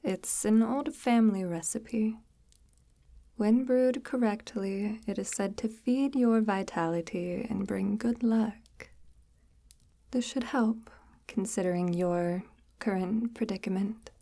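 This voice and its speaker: A woman playing a coaxing, sinister witch of the woods in an ASMR audio roleplay, breathy and often near a whisper, speaking formally and with gentle menace. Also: medium pitch. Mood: soothing, hospitable. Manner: unhurried, formal, archaic-tinged, gently menacing. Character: soft-spoken, sinister, coaxing forest witch luring a lost traveler. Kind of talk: ASMR audio roleplay, slow intimate monologue.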